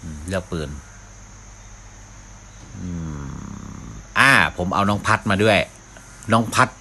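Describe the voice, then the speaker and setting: A man's voice: deep voice, expressive, slow, vocal fry, pushed and bright, slight background noise. A man giving casual live commentary as a gaming video host, often talking to himself as he plays. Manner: mouths sound effects, talks to himself while playing.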